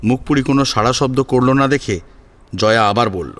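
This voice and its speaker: A man's voice: medium pitch, moderate pace, a bit bright. A man narrating a scripted family story as a dramatic storyteller.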